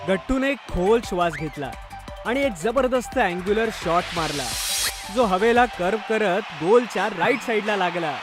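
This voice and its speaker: High-voiced man narrating a children's story. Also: lively intonation, normal speed, over music.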